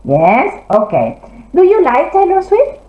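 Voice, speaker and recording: A woman teaching English to children, encouraging them and gently correcting their answers in an interactive classroom lesson. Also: sits high, huge pitch swings, a little slow. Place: classroom, indoors. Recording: roomy, slightly dull classroom audio.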